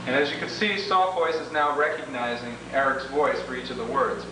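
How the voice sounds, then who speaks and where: A man narrating an instructional software demonstration, explaining each step in order. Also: mid-range voice, fairly even intonation, fairly quick. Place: reverberant indoor room, away from mic. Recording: very echoey, distant, noisy 1980s tape.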